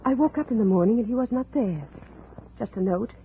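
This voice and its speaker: A woman's voice: a little high, lightly acted. A woman playing a haunted, lonely wife in a 1950s radio drama, formal and melodramatic in her speech. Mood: wistful, stubborn. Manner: slow, formal, melodramatic, without contractions. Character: brooding, lonely abandoned wife, haunted and intense. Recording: tinny, noisy old radio recording.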